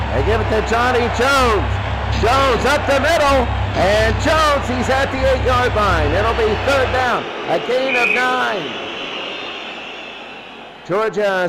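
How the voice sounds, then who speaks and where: A man's voice: high-pitched, heavy background noise. A man doing football play-by-play as the announcer, often repeating his phrases. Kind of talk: amateur football play-by-play commentary.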